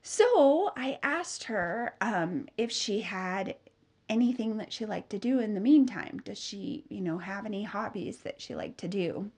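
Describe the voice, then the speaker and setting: A woman's voice: mid-range voice, animated, unhurried, darkish tone, slightly rough. A woman in her thirties hosting a solo business podcast, chatty and upbeat with many fillers, sounding a bit nasal from a cold. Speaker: podcast host and business mentor.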